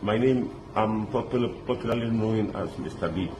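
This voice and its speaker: Middle-aged guy from lebanon telling his story all worked up, lots of restarts, street noise loud behind. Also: lowish voice. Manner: excited, halting, many restarts. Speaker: interviewee recounting a kidnapping. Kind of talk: animated storytelling, mixing in pidgin words. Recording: echoey with loud street ambience.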